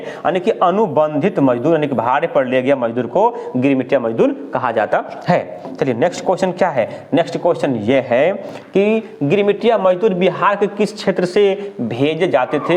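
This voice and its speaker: Male exam tutor, slightly high voice, drills questions and answers with emphatic repetition. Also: a little mellow. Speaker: teacher presenting exam questions.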